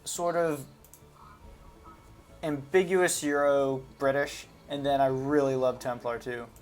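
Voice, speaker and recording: A man's voice: mid-range voice, fairly even intonation, slow pace. A man giving casual, unscripted commentary on a video game, talking informally and hesitantly as he thinks aloud. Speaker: gaming video host.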